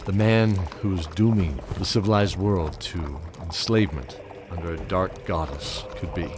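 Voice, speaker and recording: A man's voice: deep voice, moderate pace, noisy background, lightly acted. A man playing a bearded old knight, fatherly and wistful, telling his tale hesitantly in an audio drama. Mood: reflective. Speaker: narrator and knight character.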